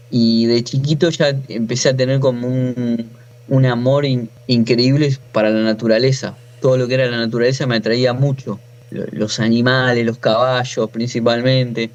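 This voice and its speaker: A man's voice: medium pitch, highs cut off. A man in his forties with a Buenos Aires accent, telling his own story informally as a guest, often breaking off and rephrasing. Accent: Argentine, from Buenos Aires. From Buenos Aires, Argentina. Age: 40s. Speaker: interview guest, natural farmer.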